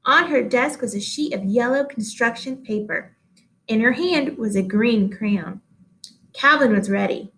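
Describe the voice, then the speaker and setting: High-voiced woman reading a children's story. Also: a little slow, a bit bright, low-quality recording. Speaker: read-aloud storyteller. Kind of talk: expressive children's storybook narration.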